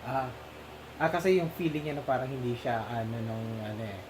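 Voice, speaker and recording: A man's voice: medium pitch, a little fast, background noise. A man with a Filipino accent chatting casually about a film, hesitant, full of fillers and restarts. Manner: hesitant, many fillers, mixes languages. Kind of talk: casual film discussion chat.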